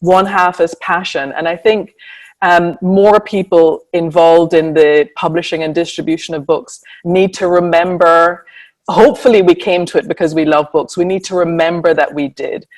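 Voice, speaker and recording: A woman's voice: lowish voice, fairly even intonation, moderate pace, a little mellow, distant mic, rough recording. A woman writer giving an earnest, thoughtful answer on a webinar panel in long, building sentences.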